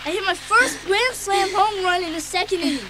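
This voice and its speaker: A very high, boyish voice acted by a woman plays an indignant, whiny little brother in an audiobook drama. He exclaims loudly over heavy background noise. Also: normal speed. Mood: aggrieved. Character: loud, whiny little brother, young sporty boy.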